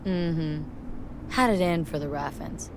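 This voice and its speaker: An actress playing a tough, weary mercenary who speaks in a terse, dry, streetwise way. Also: female voice, low-pitched, slow pace, a little hiss or hum. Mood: wry. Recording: game audio with music behind. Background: music.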